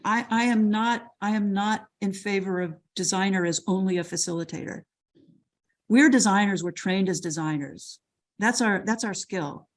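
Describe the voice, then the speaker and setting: A woman's voice: medium pitch, moderate pace. An older woman lecturer answering a question on a video call, thoughtful and full of restarts and repeated words. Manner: thoughtful, with frequent restarts and repetitions.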